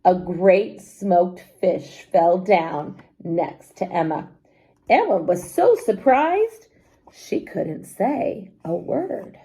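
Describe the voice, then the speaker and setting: A woman reading a children's story aloud expressively, pausing to explain things simply to young listeners. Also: on the low side. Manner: animated, unhurried, explains words simply to children.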